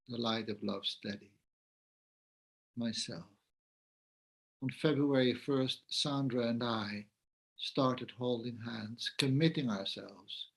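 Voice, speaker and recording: A man from the Netherlands, hosting the talk and gently guiding it, passing the floor to others. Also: mid-range voice, creaky, slightly rough. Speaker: host.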